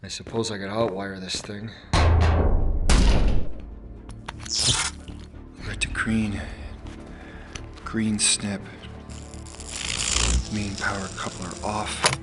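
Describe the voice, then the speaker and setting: A man's voice: on the low side, little pitch movement, slow, pauses often, loud music behind the voice. An actor playing a defiant, anguished male commander in a sci-fi audio drama. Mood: focused.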